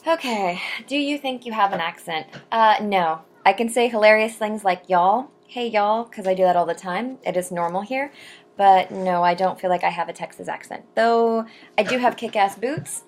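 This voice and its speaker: A young adult woman talks casually to her viewers in an easy, rambling way, full of fillers and side comments. Her voice sits at an ordinary middle pitch for a woman. When she reads verse aloud, she slows to a careful, deliberate pace. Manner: chatty and rambling, with frequent ums and asides; reads poetry slowly and deliberately. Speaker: vlogger answering viewer questions. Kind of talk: casual chat to camera.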